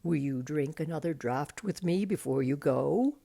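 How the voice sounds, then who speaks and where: Storybook ancient tree giant, male, played by a woman: low, creaky, solemn and drawn-out, sad yet fond. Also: expressive, dark tone, heavily acted. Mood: fond, gentle. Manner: slow, solemn, drawn-out speech.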